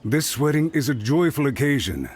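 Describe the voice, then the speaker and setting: Gushing official, wildly swooping voice. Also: male voice, mid-range voice, moderate pace, breathy edge. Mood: obsequious. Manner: effusive, formal, flattering. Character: gushing, deferential male clan official, ingratiating. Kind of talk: video game character dialogue.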